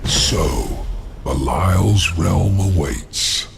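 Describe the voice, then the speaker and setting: Game villain: sly demon lord, very low and gravelly, formal, measured, calculating menace. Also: male voice, lively intonation, soft background music, heavily acted. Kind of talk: dramatic video game cutscene acting.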